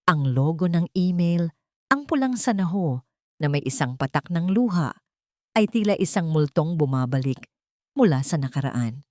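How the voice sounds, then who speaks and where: A woman narrates a melodramatic audio story in a scripted, theatrical style. Her voice sits fairly low, and the recording is close and dry. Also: big pitch swings, moderate pace, a little mellow, dry recording.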